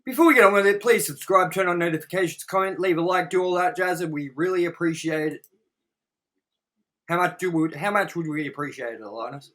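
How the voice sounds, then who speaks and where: A man's voice: sits high, fairly quick, a bit bright. A man hosting a casual movie review chat, exasperated and prone to swearing. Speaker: host of a movie review series.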